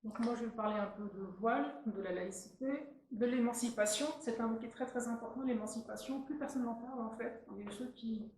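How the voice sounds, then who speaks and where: A woman's voice: fairly high pitch, steady tone, a little mellow. Woman speaker in a very echoey room. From Tunisia. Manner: earnest, halting, many restarts. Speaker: invited speaker. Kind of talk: public talk at a meeting. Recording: very echoey room, faint noise.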